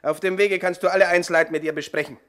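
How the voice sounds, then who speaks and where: Cool smug mob boss, a bit high voice, quiet threats, radio play. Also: male voice, fairly even intonation, very fast. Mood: menacing. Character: calm menacing gang boss. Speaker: gang boss. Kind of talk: 1960s radio drama acting.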